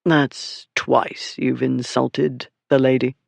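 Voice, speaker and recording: A narrator voicing a duke who speaks mildly and lazily, with a quiet menace underneath. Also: mid-range voice, unhurried, creaky, dry recording. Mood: menacing. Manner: calm, lazy, quietly menacing. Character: mild, lazy, dangerous young duke.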